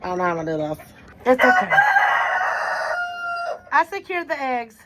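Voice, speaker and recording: A hoarse woman chatting casually at her chicken coop, rambling with lots of fillers. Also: high voice, very animated, normal speed. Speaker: backyard chicken keeper. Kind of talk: casual vlog chat. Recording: noisy outdoor farmyard recording. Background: chickens clucking and a rooster crowing.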